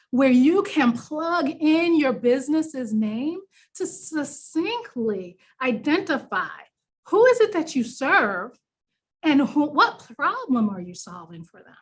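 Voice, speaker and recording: A woman with a New York accent coaches a workshop. Her voice sits fairly high and has a slightly husky edge. She explains things conversationally and encouragingly, stressing the words that matter. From New York, United States. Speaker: workshop presenter, business coach.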